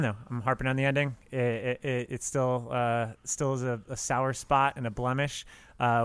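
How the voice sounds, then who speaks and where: Guy reviewing movies on a podcast, smooth clear voice, very dry sound. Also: mid-range voice, fairly bright tone, no room sound. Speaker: podcast panelist. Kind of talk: casual film critique.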